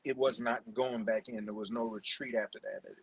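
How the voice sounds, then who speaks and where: A man from New Jersey, a poet guest telling his story deliberately and repeating phrases for emphasis. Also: fairly low pitch, steady tone, crowd noise, rough recording. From New Jersey, United States. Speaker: podcast guest, spoken word poet. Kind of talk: personal storytelling in an interview.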